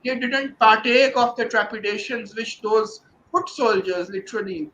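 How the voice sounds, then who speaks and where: A man's voice: high voice, steady tone, moderate pace, sharp tone, reverberant, slight background noise, rough recording. A man discussing his translation of a novel, rambling with constant "you know" fillers. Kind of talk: virtual panel discussion.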